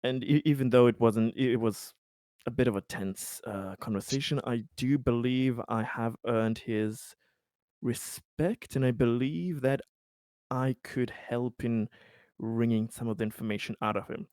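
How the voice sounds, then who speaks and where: Halting man at a tabletop roleplay. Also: mid-range voice, a little mellow, dry recording, studio quality. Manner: halting, hesitant delivery with trailing phrases. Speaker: tabletop roleplaying game player. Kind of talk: casual tabletop roleplay and out-of-character explaining.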